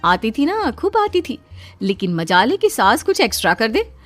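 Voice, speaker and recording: A woman's voice: a little high, big pitch swings, normal speed, a bit bright, faint music behind. A woman voicing a young daughter-in-law in a cartoon story, exasperated, pleading and plaintive. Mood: flustered, protesting. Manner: plaintive, protesting. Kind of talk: cartoon moral story acting.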